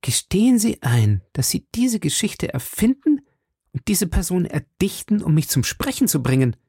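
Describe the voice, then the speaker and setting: An earnest young woman in an audiobook, as the narrator puts her on, pitched up from the natural voice. The delivery is urgent, formal and pleading, with a soft, mellow tone and a close, dry sound. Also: very animated, normal speed, husky, no room sound. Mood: firm, exasperated. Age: young adult. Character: earnest, anxious young woman guarding dangerous secrets. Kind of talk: audiobook character dialogue.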